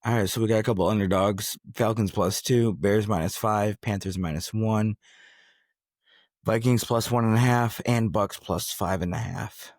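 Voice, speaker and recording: A man with a deep voice hosts a casual sports podcast. He talks in loose, slangy banter like he is among buddies, and the sound is close and dry. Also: fairly even intonation, moderate pace, darkish tone, no room sound. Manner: slangy, bro-ish banter. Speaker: podcast host.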